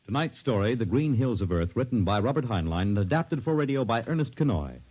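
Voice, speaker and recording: A man's voice: mid-range voice, very animated, brisk. A man reading credits as a radio announcer in a formal 1950s broadcast style. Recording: muffled 1950s radio recording, music behind.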